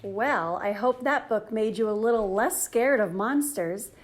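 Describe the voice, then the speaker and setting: A woman reading a children's story aloud in an enthusiastic, theatrical, expressive manner. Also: a little high, unhurried. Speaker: storybook reader, channel host.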